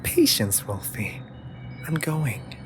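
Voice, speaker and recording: A man's voice: mid-range voice, wildly swooping pitch, slow, a little hiss or hum. A man voicing a teasing, dramatic raven familiar in a scripted fantasy audio roleplay, with playful, sardonic and theatrically indignant delivery.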